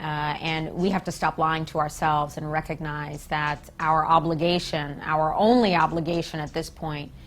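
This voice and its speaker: An American woman giving earnest, emphatic political commentary as an activist in an interview, phrasing her points deliberately. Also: deep voice, slight background noise. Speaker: peace activist being interviewed.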